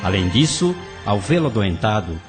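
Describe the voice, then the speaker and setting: A man's voice: fairly low pitch, big pitch swings, normal speed. A Brazilian man playing a wise doctor spirit in an audio drama, solemn, firm and didactic. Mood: stern, admonishing. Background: music.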